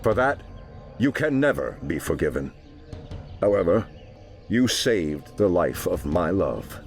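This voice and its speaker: A gravelly, commanding, stern warrior leader. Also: male voice, deep voice, very animated, unhurried, faint music behind, heavily acted. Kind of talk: video game cutscene voice acting.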